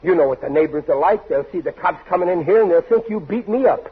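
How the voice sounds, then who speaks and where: A bumbling, soft-hearted dad from a 1940s radio comedy, high in pitch and swinging widely up and down. He blusters and stammers his way through muddled excuses, on a thin, tinny old recording. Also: lively intonation, quick pace, over a noisy crowd, heavy background noise. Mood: pleading, reassuring. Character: bumbling, soft-hearted working-class father, excitable and muddled.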